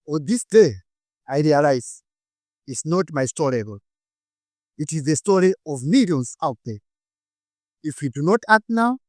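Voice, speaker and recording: Male, Malawian accent, fairly high pitch, dry close sound, rehearsed public speech, deliberate phrasing, dramatic pauses. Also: dry recording. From northern Malawi. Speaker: speaker giving a prepared talk. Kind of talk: rehearsed inspirational public speech with personal storytelling.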